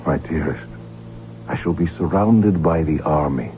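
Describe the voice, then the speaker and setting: An actor playing a stubborn, weary archduke and devoted husband in a formal, measured radio drama manner. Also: male voice, very deep voice, narrow, tinny audio, slight background noise. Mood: firm, tender. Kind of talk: 1970s radio drama acting. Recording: band-limited old radio broadcast with music.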